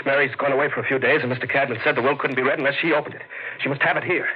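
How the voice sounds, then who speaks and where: An actor playing a scheming, hot-tempered heir in a 1940s radio drama, urgent and bullying. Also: male voice, medium pitch, fairly even intonation, racing, lightly acted. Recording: dull lo-fi 1940s radio broadcast with loud hiss.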